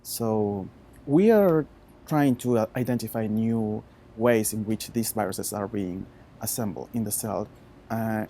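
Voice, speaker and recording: A man in his thirties with a Chilean Spanish accent, a researcher talking informally about his work in halting non-native English. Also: fairly low pitch, warm tone. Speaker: postdoctoral researcher.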